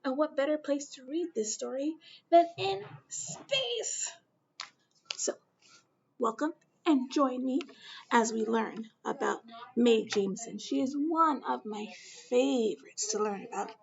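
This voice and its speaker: A woman teacher reading a picture book aloud to children, gentle and slow, with questions to her listeners. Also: high voice, expressive, creaky, muffled recording.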